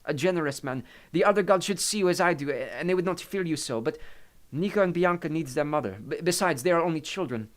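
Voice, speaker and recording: A male narrator plays a loving Italian mother in an audiobook story. Her voice is pitched well up, with an Italian accent, and she is gentle yet immovable and trusting. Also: lively intonation, fast talker, close to an everyday voice. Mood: tender, confident.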